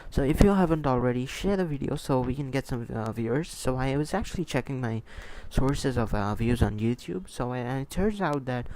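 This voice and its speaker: A man's voice: medium pitch, a little fast, few pauses, darkish tone, low-quality recording. A man who is not a native English speaker hosts a gaming livestream, chatting casually and repeating himself as he comments on matches. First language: a language other than English, not Hindi. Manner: rambling, repeats himself, answers chat as he goes. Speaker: esports livestream host and commentator. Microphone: close microphone.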